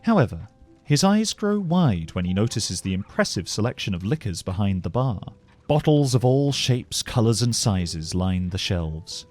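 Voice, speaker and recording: A man narrating a scripted fantasy story as a measured, descriptive storyteller. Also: on the low side, very animated, a little mellow, faint music behind.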